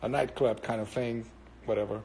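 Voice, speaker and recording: A man's voice: mid-range voice, fairly even intonation, normal speed, gravelly, darkish tone. Brazilian man rambling through viewer chat. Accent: Brazilian Portuguese. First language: Portuguese. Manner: rambling, hesitant with many fillers. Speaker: musician answering viewers. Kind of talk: casual live-stream chat.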